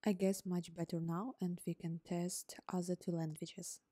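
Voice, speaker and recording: A woman whose first language is Ukrainian, calmly narrating a scripted coding tutorial step by step as host. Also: lowish voice, normal speed, no room sound, studio quality. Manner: calm, step-by-step explaining. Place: voice-over on screen recording.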